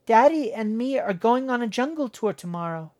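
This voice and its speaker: A man's voice: very high voice, expressive, moderate pace, darkish tone, pitched above the performer's own voice. A male narrator voicing a young girl who pleads and argues in a whiny way. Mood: pleading.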